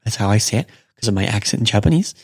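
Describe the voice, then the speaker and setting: Upbeat male podcast teacher, low voice, slows down to repeat examples. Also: animated, husky, no echo. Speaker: podcast host and Japanese teacher. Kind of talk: casual spoken language lesson.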